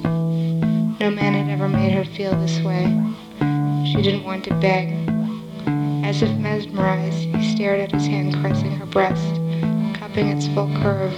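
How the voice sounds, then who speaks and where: A woman's voice: medium pitch, completely flat delivery, unhurried, pauses often, highs cut off. A woman reading romance fiction aloud, with guitar playing behind her. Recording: roomy echo under loud guitar music. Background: loud plucked guitar music.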